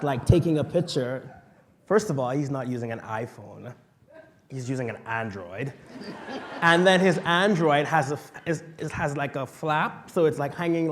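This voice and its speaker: A young man from the Bahamas, telling a personal story as a panel speaker, passionate and vocal, swinging from quiet asides to loud outbursts. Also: a little high, slight background noise. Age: young adult.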